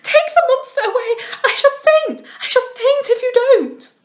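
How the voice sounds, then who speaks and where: A woman reader voicing a terrified fine lady with a shrill, screaming voice. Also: animated, normal speed, echoey room. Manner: screaming, panicked.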